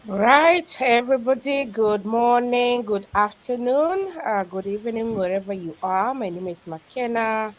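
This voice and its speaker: A woman's voice: a little high, a little slow, few pauses, poor audio. A woman with a Kenyan accent introduces herself as a pastor and panelist.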